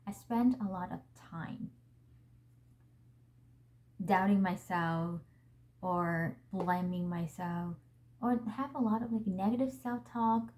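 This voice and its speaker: Slow, mellow woman with a Vietnamese accent. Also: lowish voice, a little hiss or hum. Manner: gentle, earnest and thoughtful. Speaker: vlog host. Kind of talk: reflective talk to camera.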